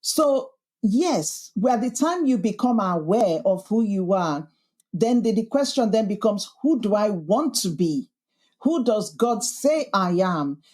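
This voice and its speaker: A woman's voice: mid-range voice, lively intonation, slow, a little mellow. Woman, Yorkshire accent, podcast host as guest, thoughtful and emphatic. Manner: earnest, thoughtful, with frequent restarts and emphatic lists. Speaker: podcast host being interviewed. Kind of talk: reflective conversational interview.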